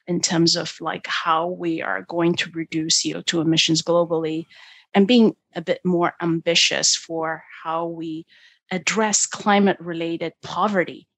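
A woman from Ethiopia, a guest on a podcast interview, talking policy in an animated way with lots of fillers like "you know" and "like". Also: lowish voice, unhurried, dry recording. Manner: animated, thinks aloud with many fillers. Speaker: guest, energy policy expert.

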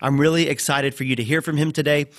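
A man hosting a podcast interview, introducing his guest and asking questions in a warm, friendly way. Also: on the high side, fairly even intonation, fast, dry recording. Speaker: podcast host.

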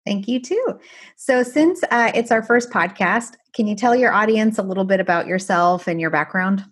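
A woman whose first language is Farsi, raised in France and Canada, co-hosting a podcast in a lively way. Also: mid-range voice, moderate pace, slightly rough. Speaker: pediatrician podcast co-host. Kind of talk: casual conversational chat.

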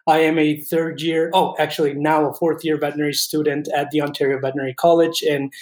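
A man's voice: a little high, level tone, fast talker, a little mellow, roomy sound. A man in his twenties from Colombia, a veterinary student answering interview questions in an earnest, thoughtful way with frequent restarts.

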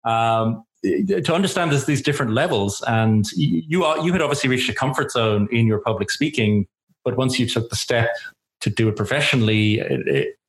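A man's voice: medium pitch, expressive. A man hosting an interview, asking long questions with frequent hesitations and restarts. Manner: halting, many fillers and restarts while framing questions. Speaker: interview host. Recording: echoey room.

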